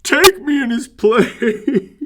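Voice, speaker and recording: A heartbroken country father with homespun folksy speech, as a male storyteller puts him on. His voice sits high and strained above the narrator's own tone, and the words come out slowly and heavily. He sounds earnest and raw. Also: animated, pushed and bright, full character voice. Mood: grieving. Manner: rural folksy dialect, tearful lament.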